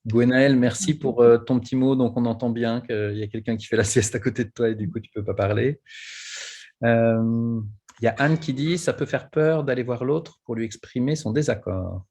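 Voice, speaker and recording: A man hosting a webinar over a video call, relaxed and easygoing as he invites questions. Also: mid-range voice, normal speed, husky. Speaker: webinar host. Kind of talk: moderating a webinar.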